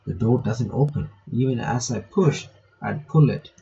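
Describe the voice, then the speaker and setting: Bright-voiced man reading a game aloud. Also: mid-range voice, measured pace, vocal fry, lo-fi sound. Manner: breaks off reading to comment and reread lines. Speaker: gaming reaction video maker. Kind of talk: reading visual novel text aloud with casual commentary.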